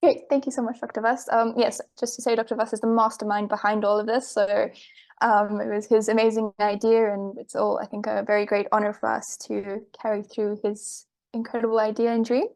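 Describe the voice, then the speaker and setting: A woman's voice: mid-range voice, little pitch movement, brisk, a little mellow. A woman coordinator welcoming participants on a video call, polite and upbeat, with frequent ums. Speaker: program coordinator hosting the meeting. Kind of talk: scripted welcome and agenda talk.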